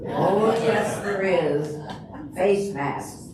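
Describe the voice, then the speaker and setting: A woman's voice: medium pitch, drawn-out words. An older woman playing a chatty, long-suffering best friend in an amateur comedic stage reading, with a dry, punning delivery. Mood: dry, teasing. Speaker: amateur readers theater performer. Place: large echoey room before an audience. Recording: echoey hall from far off, noisy.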